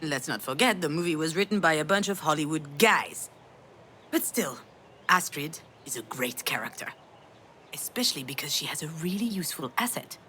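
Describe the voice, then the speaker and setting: Grieving mother, lowish female voice, wry, frank, tender, dramatic game acting, noisy background. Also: normal speed. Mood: wry enthusiasm. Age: adult. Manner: frank, wry, swearing, emotionally expressive. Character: grieving mother, sardonic, self-blaming, tender with her daughter.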